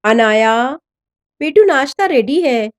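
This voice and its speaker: A woman's voice: sits high, expressive, takes it slowly. A woman narrator voicing an affectionate, encouraging middle-aged father in a story. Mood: calling out. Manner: gentle, encouraging.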